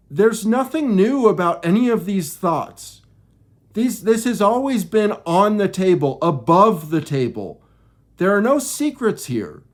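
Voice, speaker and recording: High-pitched, slow-talking man preaching opinions. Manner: halting, preachy, repeats and rephrases phrases. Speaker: video creator. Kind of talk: informal opinion monologue.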